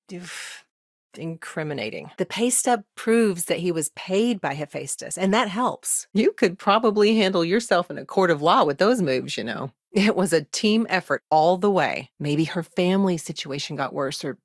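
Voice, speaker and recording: A principled, lower-voiced colony lawyer. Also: female voice, dry recording, studio quality. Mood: warm, amused, then rueful, resolute. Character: principled, earnest small-town lawyer woman in a sci-fi mining colony. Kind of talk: video game character dialogue.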